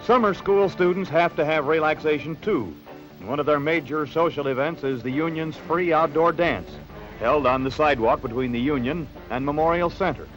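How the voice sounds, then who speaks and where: An American man narrates a 1960s newsreel from a script. His voice sits a little high, and his delivery is measured and polished. Faint music plays behind him. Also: a bit bright, low-quality recording. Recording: late-1960s university newsreel soundtrack. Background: background music.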